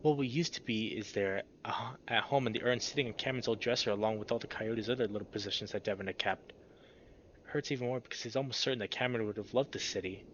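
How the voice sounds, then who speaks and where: A man's voice: fairly low pitch, quick pace, a bit bright, a little hiss or hum. A man reading a visual novel aloud as a let's play host, narrating dramatically and breaking into exclamations. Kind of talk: expressive story narration with excited reactions. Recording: dull lo-fi sound, music behind. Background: game music.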